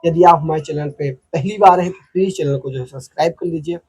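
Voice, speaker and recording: High-pitched, husky Indian man explaining. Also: a little fast, darkish tone. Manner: repetitive, emphatic explaining with frequent filler phrases. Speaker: host of a legal explainer channel. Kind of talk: informal explainer talk to viewers.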